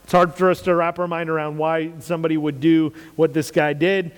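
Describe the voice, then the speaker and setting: A man from the United States with a loud, carrying voice, talking earnestly in a casual faith talk and repeating phrases for emphasis. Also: fairly high pitch, little pitch movement, a little fast, clear, resonant tone, in a large hall.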